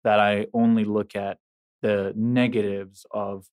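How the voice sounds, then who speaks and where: A man's voice: lowish voice, flat delivery, darkish tone, clean tone. A man from the Middle East hosting a podcast, talking casually with fillers. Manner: casual, many fillers, quick agreement. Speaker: podcast host. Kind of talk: podcast conversation.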